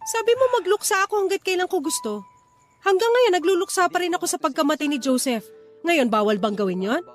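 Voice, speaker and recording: A woman's voice: extremely high pitch, animated, brisk, soft background music. An actress playing a grieving, defensive mother in a Filipino radio drama, emotional and insistent, with a Filipino accent. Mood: defiant, hurt. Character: grieving, defensive young mother and wounded wife.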